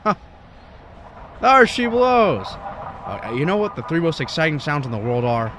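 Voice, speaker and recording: An actor playing an earnest, impassioned young small-town man in a radio drama, stammering and halting with emphatic delivery. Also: on the high side, big pitch swings, fairly bright tone, a little hiss or hum. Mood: eager, dreamy.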